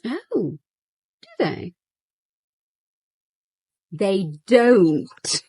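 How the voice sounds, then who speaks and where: A woman's voice: mid-range voice, big pitch swings, very slow, mellow, breathy edge, dry recording, studio quality, full character voice. An actress playing a sceptical, teasing female companion in radio drama dialogue, with a sardonic manner.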